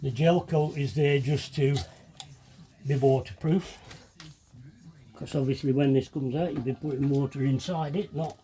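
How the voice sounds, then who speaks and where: Mellow-voiced man with a slight sniffle. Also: mid-range voice, fairly even intonation, a little slow. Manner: chatty, self-deprecating, thinking aloud while working. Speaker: DIY video maker demonstrating fibreglassing. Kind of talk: casual hands-on tutorial narration.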